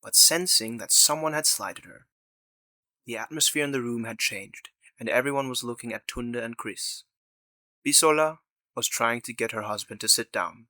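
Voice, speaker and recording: A man narrates a scripted story in a bright, crisp-edged voice of medium pitch. The recording is dry, with no room sound. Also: normal speed, no room sound.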